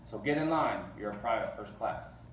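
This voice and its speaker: A man's voice: fairly low pitch, expressive, moderate pace, slight background noise, natural voice. A man voicing a big, pompous, bellowing army general in a children's storybook read-aloud. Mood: commanding, urgent. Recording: roomy, distant, dull sound.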